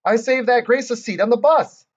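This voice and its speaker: A man's voice: very high voice, moderate pace, lo-fi sound, pitched above the performer's own voice. A man voicing a chatty, bossy kindergarten girl who talks in cheeky, ungrammatical kid speech. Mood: excited.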